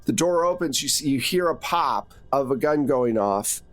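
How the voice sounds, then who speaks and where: A man's voice: medium pitch, expressive, normal speed, soft background music. Man running a tabletop game. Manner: conversational second-person narration, casual asides to players. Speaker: game master. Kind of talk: narrating a tabletop horror game to players.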